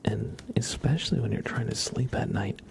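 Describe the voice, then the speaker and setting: A man whispering and speaking softly and breathily as an ASMR livestream host, gentle and halting, with frequent pauses. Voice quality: breathy whisper mixed with low soft voice. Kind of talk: whispered ASMR, part role play, part casual chat.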